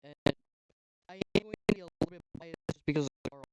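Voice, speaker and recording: A young man giving casual tier-list commentary on toy car sets, rambling with lots of fillers and a voice he says is going hoarse. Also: on the high side, fast talker, a little mellow, low-quality recording. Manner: rambling, filler-heavy, trailing off. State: losing his voice. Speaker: YouTuber ranking toy sets.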